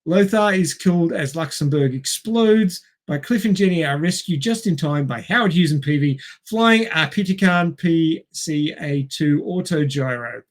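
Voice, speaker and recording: A man's voice: on the high side, moderate pace, few pauses. A man co-hosting a film podcast, reading narration and chatting casually with wry, dryly joking asides. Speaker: podcast co-host.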